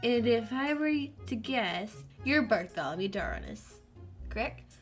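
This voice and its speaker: A woman's voice: on the high side, expressive, a little slow. A woman voicing a confident, teasing young schoolboy with superpowers, upbeat and friendly, with faint music behind. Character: cocky, cheerful young schoolboy with powers.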